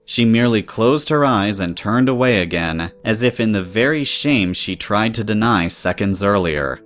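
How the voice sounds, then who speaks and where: A man's voice: on the low side, animated, normal speed, a bit bright, soft background music. A man reading scripted story narration in the third person.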